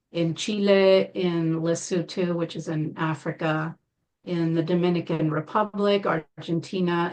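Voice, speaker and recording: A woman speaks as an interview guest, her voice on the low side. She talks in a calm, measured way, often pausing with fillers as she works through hard memories. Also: low-quality recording. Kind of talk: interview, personal account.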